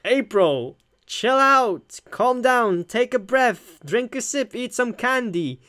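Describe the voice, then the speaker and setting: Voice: mid-range voice, lively intonation, takes it slowly, fairly bright tone, voice pushed up. A gamer voicing a blunt, supportive young woman friend in a visual novel, emphatic and brash. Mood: exasperated, reassuring.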